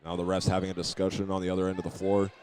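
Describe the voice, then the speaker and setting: A man's voice: low-pitched, completely flat delivery, rapid-fire, a little mellow. A man doing live play-by-play commentary of a basketball game, matter-of-fact, sometimes stumbling and repeating words. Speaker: play-by-play announcer. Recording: noisy gym ambience behind the voice.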